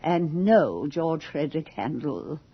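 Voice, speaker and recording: A woman's voice: deep voice, animated, slow, slightly rough, dry recording, exaggerated voice. A woman acting a bored, witty, imperious aristocratic widow in a period radio play, with a languid, theatrical drawl. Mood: scornful. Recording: muffled 1980 radio drama with music.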